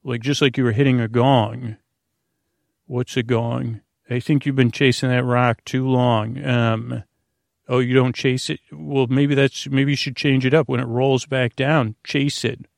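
Man, gravelly fry, dry close sound, rambling podcast host, halting asides. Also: mid-range voice, level tone, no room sound. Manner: meandering, halting, frequent self-interruptions and asides. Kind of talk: rambling improvised bedtime podcast monologue.

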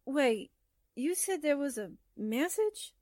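A woman's voice: high voice, animated, slow, a little mellow, no room sound, studio quality. An actress playing a brash, cheeky, scatterbrained newspaperwoman with perky delivery in a 1940s-style radio drama. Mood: eager, feigning. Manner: perky, wisecracking.

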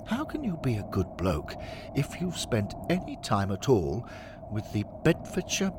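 A man voicing a pompous, excitable old-fashioned gentleman in a blustering, plummy for an audio story. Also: mid-range voice, a little slow, noisy background, pitched above the performer's own voice. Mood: indignant.

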